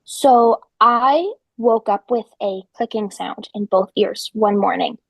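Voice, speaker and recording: A woman's voice: mid-range voice, slow pace, gravelly, no room sound. A woman in her twenties from New Jersey, a podcast guest telling her story in a chatty way, with many ums and likes and some technical terms. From central New Jersey. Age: 20s. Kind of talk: casual conversational storytelling.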